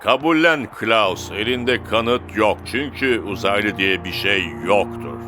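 A man's voice: lowish voice, slow pace, over music. A man voicing a stern, skeptical football coach in a cartoon dub, sardonic and commanding. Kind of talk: dubbed cartoon acting.

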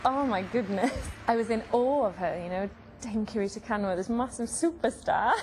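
A woman's voice: on the high side, brisk. A woman opera singer answering casually in an interview. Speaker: opera singer, competition contestant. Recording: clear background ambient noise.

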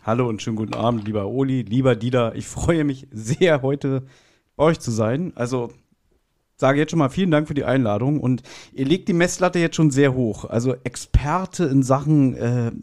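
A man's voice: medium pitch, moderate pace, a little mellow. A polite man speaking as a guest in a casual podcast chat.

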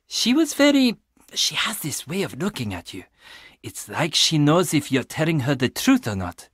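A man's voice: mid-range voice, fairly bright tone, no room sound, studio quality. An actor playing an easygoing, skeptical young man at a family inn in a video game, talking in a casual, wry, chatty way. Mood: thoughtful. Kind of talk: video game character dialogue.

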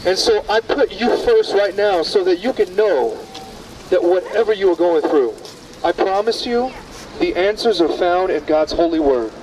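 Man street preaching, high voice, far off. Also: moderate pace, darkish tone. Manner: earnest, pleading, direct address to passers-by. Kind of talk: open-air street preaching. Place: busy outdoor bus stop in a city square. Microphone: far camera, no visible mic. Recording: distant outdoor camera audio with street noise.

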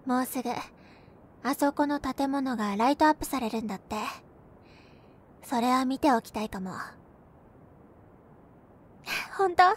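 A young woman playing a gentle, cheerful girlfriend who comforts her overworked boyfriend in an intimate audio roleplay, with a soft, playful manner. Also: sits high, lively intonation, a little fast, slightly rough. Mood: gentle, concerned. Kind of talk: Japanese binaural situation-voice roleplay, spoken to the listener.

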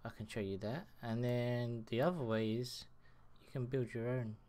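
A man in his thirties giving a casual live software tutorial from his desk, speaking through a headset and thinking aloud as he works. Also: medium pitch, steady tone. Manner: slow, halting, muttering while working. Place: small room at a computer desk.

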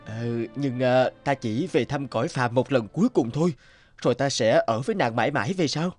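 An actor playing an earnest, polite and hesitant young scholar in an animated folktale, homesick for his village. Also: medium pitch, brisk, soft background music, lightly acted. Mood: homesick, pleading.

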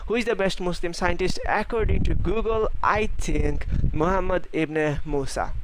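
A young man talks through his searches in a loose, thinking-aloud way, repeating names and joking to himself. His voice sits a little high. The recording has clear background noise from a simple device mic. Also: moderate pace. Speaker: channel host. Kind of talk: casual screen-recorded search commentary.

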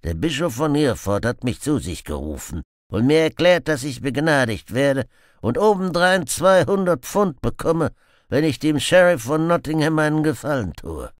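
A man's voice: on the high side, very animated, moderate pace, no echo, studio quality, pitched above the performer's own voice. A man voicing a boastful, murderous outlaw in an audiobook, grim, menacing and full of contempt. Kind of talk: audiobook character acting.

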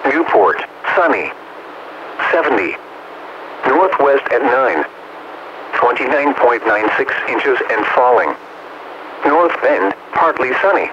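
A man's voice: medium pitch, big pitch swings, dull audio. Synthetic male weather radio announcer, noisy signal. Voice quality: synthetic text-to-speech voice. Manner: formal, measured announcing in clipped phrases. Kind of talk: scripted weather broadcast narration. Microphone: direct recording from weather radio receiver.

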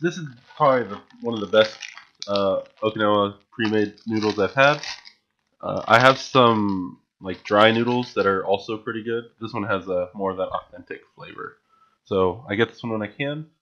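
A man's voice: mid-range voice, a bit bright, dull audio, a little hiss or hum. A young man chatting casually and enthusiastically in a home vlog, stumbling over his words now and then as he shows off his grocery haul. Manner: chatty, enthusiastic, with frequent filler restarts. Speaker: vlogger. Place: small home room, indoors. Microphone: studio mic on a stand, wearing headphones.

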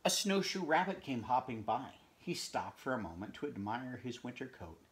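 A middle-aged man reading a picture book aloud at home, expressive, pausing to chat about the pictures and dropping into whispers. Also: medium pitch, slight background noise. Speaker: read-aloud storyteller. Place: home room, indoors.

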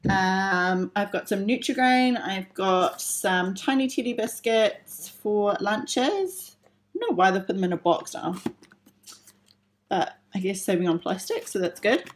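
Slow, chatty young woman on a phone. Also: mid-range voice, animated. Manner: chatty, unhurried, with many ums and uhs. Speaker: vlogger showing a grocery haul. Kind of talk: casual chat. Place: home kitchen table. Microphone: phone camera held over the table.

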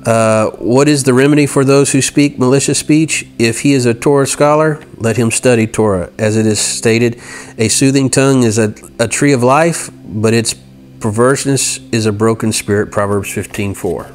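A man in his fifties giving an informal devotional lesson, reading aloud and explaining in a halting way, often correcting himself. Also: mid-range voice, faint music behind. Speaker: devotional teacher and host. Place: indoor room in front of a large window. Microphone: lavalier clipped to shirt.

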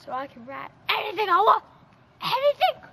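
A woman in a playful mood calls out at a campsite in a very high, swooping voice. She drags her words out slowly and sometimes shouts. Outdoor camcorder noise runs underneath. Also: big pitch swings, vocal fry. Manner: silly, squealing, playful. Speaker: family member on a camping trip. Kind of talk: playful family banter. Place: outdoors in a campground. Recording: noisy outdoor camcorder audio.

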